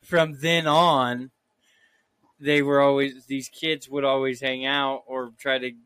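A man's voice: mid-range voice, flat delivery, slow pace, a bit bright, clear, resonant tone, no room sound. A man co-hosting a casual podcast chat, telling crude, swearing stories with a laugh. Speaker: podcast co-host.

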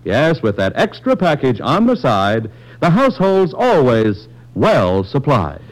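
A man working as a radio announcer, delivering a polished, emphatic 1940s-style commercial pitch. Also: wildly swooping pitch, a little slow, rough recording.

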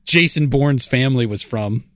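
A man co-hosting a podcast, cracking deadpan jokes in a dry, laid-back way. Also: mid-range voice, normal speed, dry recording. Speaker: podcast co-host. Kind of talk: casual comedic chat.

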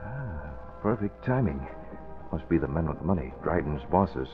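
An American actor in a 1950s radio drama, playing a clipped, authoritative masked vigilante who passes as a newspaper boss. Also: male voice, a bass voice, very animated, unhurried, exaggerated voice. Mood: commanding. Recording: muffled old radio, music behind.

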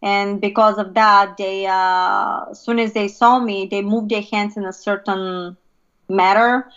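A woman from Bulgaria, a podcast guest telling her life story in a halting way, full of "uh" pauses. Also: mid-range voice, steady tone, fairly bright tone, dull audio.

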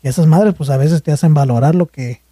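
Young male podcast co-host, Mexican accent, slangy and rambling, mellow tone. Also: on the high side, a little fast, slightly rough, no echo, clean studio sound. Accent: Mexican Spanish. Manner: slangy, rambling, swears freely. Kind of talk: casual chat.

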